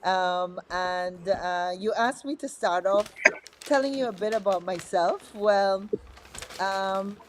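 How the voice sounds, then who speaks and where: A woman's voice: mid-range voice, slow pace, a little hiss or hum. A woman in her fifties, a politician guest talking in a friendly, conversational way with frequent fillers. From Guyana. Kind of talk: studio interview.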